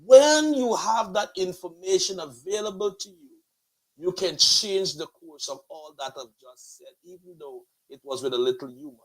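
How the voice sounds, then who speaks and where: A man's voice: sits high, expressive, moderate pace, rough recording. A man with a Guyanese accent hosting a motivational show like a preacher, with emphatic, flowery, repetitive rhetoric. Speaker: host and pastor of a motivational online show.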